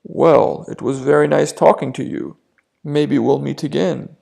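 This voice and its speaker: A storyteller voicing a smooth-talking, hungry big bad wolf with a slow, sly manner. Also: medium pitch, warm tone. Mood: sly, flattering.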